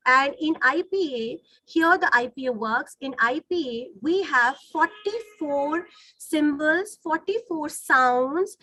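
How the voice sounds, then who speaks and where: High-pitched Indian woman teacher, bright voice. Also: dry recording, rough recording. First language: Hindi. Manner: didactic, repeats and rephrases points, checks in with listeners. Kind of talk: online lesson, explaining to students. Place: online video call.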